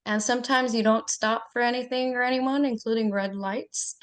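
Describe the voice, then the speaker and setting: A woman from Egypt speaks at a somewhat raised pitch, giving an impromptu answer with dry humor and small laughs. She is on a video call. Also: steady tone, moderate pace. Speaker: meeting guest. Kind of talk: impromptu speech.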